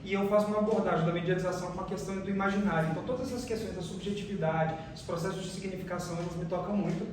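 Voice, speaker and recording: A man's voice: high-pitched, fairly even intonation, very fast, hardly any pauses. Brazilian guy presenting research at a conference, really echoey, faint noise, keeps restarting his phrases. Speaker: researcher presenting a case study. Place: reverberant room, recorded from a distance. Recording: very echoey room, distant mic, faint noise.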